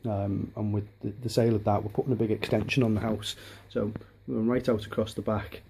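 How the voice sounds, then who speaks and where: A man in his thirties from Liverpool, chatting casually on a home vlog with a softened Liverpool accent, rambling with many restarts and ums, filmed on a handheld camera in his dining room. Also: fairly low pitch, flat delivery, a little fast, vocal fry, noisy background. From Liverpool, England. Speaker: hobbyist vlogger. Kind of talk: casual home video chat.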